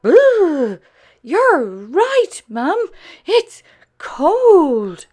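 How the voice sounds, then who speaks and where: A woman voicing a small, excited male puppy in a storybook reading, with high, eager exclamations. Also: drawn-out words, heavily acted.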